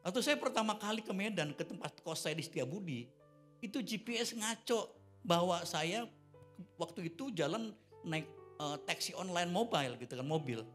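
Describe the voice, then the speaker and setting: An older male pastor in his sixties gives a sermon at a church service with a slightly raised voice. He tells stories in a chatty way and builds to loud, emphatic declarations. A guitar plays softly behind him. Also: sits high, expressive, moderate pace. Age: 60s. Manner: conversational, swelling into loud emphatic preaching.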